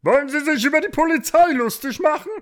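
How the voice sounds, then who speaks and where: Comic storybook cockerel policeman, high-pitched, throaty, pompous, suspicious, barking. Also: fast talker. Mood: indignant. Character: proud, suspicious, officious rooster policeman. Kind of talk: comic audiobook character voice.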